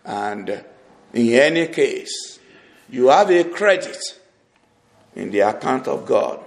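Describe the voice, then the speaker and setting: Slow, gravelly Nigerian preacher. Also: male voice, medium pitch, big pitch swings. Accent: Nigerian Yoruba. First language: Yoruba. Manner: slow, deliberate and distinct. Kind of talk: sermon.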